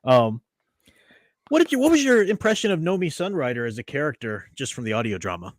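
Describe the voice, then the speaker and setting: A man hosting a casual review discussion, explaining in an animated way with some fillers. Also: mid-range voice, fairly quick, no echo. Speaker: podcast host.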